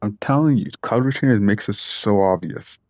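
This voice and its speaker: A man's voice: on the low side, moderate pace, darkish tone, a little hiss or hum. A man giving casual, offhand commentary while playing a video game. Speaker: gamer. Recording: game music in the background.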